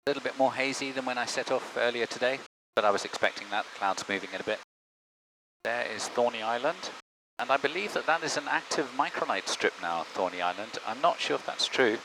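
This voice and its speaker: A man speaking as a pilot on a headset, in a measured, polite, procedural way. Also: mid-range voice, lively intonation. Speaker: pilot, flight vlogger. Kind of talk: radio calls and casual cockpit commentary. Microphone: aviation headset. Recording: noisy light aircraft cockpit. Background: engine drone of a light aircraft.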